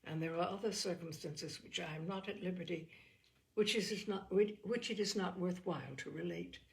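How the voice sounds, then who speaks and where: A woman reading as an earnest young Regency gentlewoman. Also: lowish voice, normal speed, vocal fry. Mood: earnest, indignant. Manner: emphatic, formal, animated.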